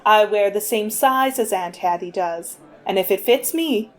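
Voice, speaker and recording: A woman's voice: medium pitch, unhurried, close to an everyday voice. A woman playing a fussy, motherly middle-aged lady in a 1940s-style radio comedy. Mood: chatty.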